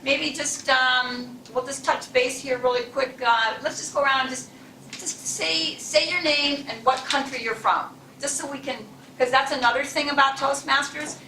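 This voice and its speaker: A woman's voice: high voice, fairly even intonation, moderate pace, bright, forceful tone, recorded from a distance, a little hiss or hum. A woman, the club president, giving chatty, encouraging welcome remarks to guests in a chilly meeting room. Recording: echoey room, mic from afar.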